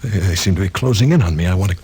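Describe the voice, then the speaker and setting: An actor playing a skeptical young man in a radio drama, husky-voiced, hesitant and easily shaken. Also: low-pitched, rapid-fire, mellow, exaggerated voice. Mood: frightened. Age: young adult. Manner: hesitant, incredulous, questioning. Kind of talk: classic radio drama acting.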